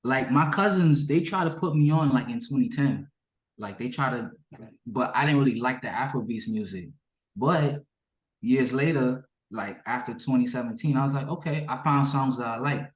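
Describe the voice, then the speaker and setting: A native Yoruba-speaking man with a medium-pitched voice answers questions as a musician. He is casual and slangy, often doubling back on his words. The room around his voice is echoey. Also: normal speed. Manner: informal, slangy, repeats himself. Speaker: musician being interviewed. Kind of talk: casual interview chat.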